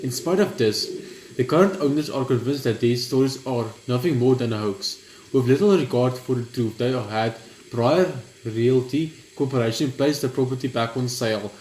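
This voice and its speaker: A young man plays and talks through a game in a casual way. He reads aloud haltingly, tripping over words. The recording is echoey, with some noise behind him. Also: mid-range voice, a little mellow. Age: young adult. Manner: halting, stumbles when reading aloud. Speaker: gaming video host. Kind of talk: casual gameplay commentary and reading aloud. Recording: roomy echo, clear background noise.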